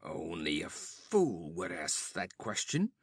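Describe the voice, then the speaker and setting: A man voicing a wounded, defiant barbarian warrior who rasps and pants his words slowly. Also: sits low, huge pitch swings, dry recording, studio quality, voice pushed down, full character voice. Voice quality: rasping. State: panting, badly wounded.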